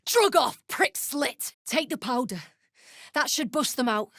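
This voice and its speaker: High-pitched, defiant gnome woman rebel. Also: big pitch swings, a little slow, creaky, a bit bright, dry recording, clean studio sound, exaggerated voice. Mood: defiant, angry. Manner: blunt, snarling, sweary. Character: embittered, defiant deep gnome woman rebel, foul-mouthed. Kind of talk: video game character acting.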